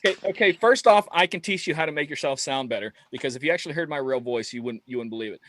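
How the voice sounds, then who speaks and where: A man co-hosting a live show, a DJ talking casually in a blunt, animated, joking way. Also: on the high side, racing. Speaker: co-host, DJ.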